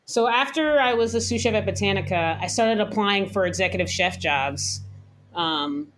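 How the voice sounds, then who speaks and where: An American woman chef, around her thirties, talking candidly and thoughtfully in a relaxed interview, laughing now and then. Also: mid-range voice, normal speed, rough recording. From United States. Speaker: chef, guest. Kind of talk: casual interview talk.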